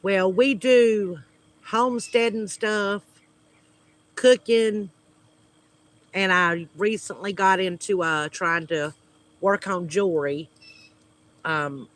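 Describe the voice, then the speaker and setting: A Southern US man with a high voice chats slowly with his livestream viewers outside at home. He talks in a hesitant, friendly way, with lots of pauses and fillers. His voice has a bright, forward edge. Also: steady tone. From United States. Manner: hesitant, many fillers, friendly small talk. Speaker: homesteading channel host. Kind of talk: casual livestream chat with viewers. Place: outdoors at home.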